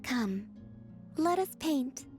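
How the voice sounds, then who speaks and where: Dreamy young moon goddess for a game, high soft breathy voice, slow and gentle, bit of music behind. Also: female voice, animated, exaggerated voice. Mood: serene, playful. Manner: unhurried, dreamy, formal phrasing. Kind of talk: scripted video game dialogue.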